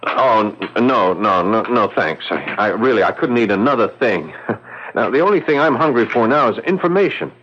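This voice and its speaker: An eager American doctor in love, for a radio play. His voice is low and swings expressively in pitch. The recording is a little muffled. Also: animated, moderate pace, fairly bright tone, a little hiss or hum. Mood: hesitant, curious. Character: eager, smitten American doctor visiting Irish relatives. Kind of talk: radio drama acting and narration. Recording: dull radio recording, faint music.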